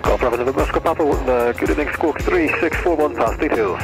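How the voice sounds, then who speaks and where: A man's voice: fairly high pitch, fast talker, creaky, music in the background. A man speaking in clipped aviation phrases over an aircraft radio. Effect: aircraft radio transmission. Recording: noisy, crackly aircraft radio.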